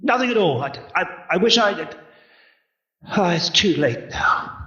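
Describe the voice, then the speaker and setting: A man's voice: sits high, animated, a little slow, pushed and bright, heavily acted. A man acting an elderly miser in a radio play, stammering, exclaiming and repeating his words with theatrical feeling. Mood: wistful, sorrowful. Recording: roomy, dull audio with music.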